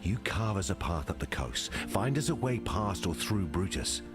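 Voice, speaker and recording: An actor playing a gruff, blunt, hardened male scout in a video game, speaking in clipped, salty phrases. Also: low-pitched, sing-song, normal speed, over loud music. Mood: grim, matter-of-fact.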